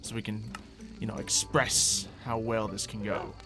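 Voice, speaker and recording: A man hosting a gaming video, giving casual commentary as he thinks out loud and explains what he is doing in a conversational way. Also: fairly low pitch, flat delivery, unhurried. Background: background music.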